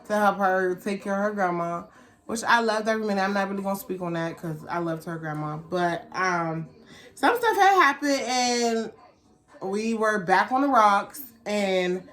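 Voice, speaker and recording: A woman with a mid-pitched voice tells a personal story as a vlogger. She talks casually and candidly, in loose slang. She slips into animated re-enactments of what people said.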